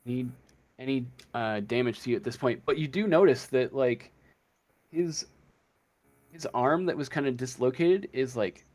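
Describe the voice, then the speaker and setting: A man running a casual tabletop game as game master, improvising the narration in a hesitant, halting way. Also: mid-range voice, a little mellow.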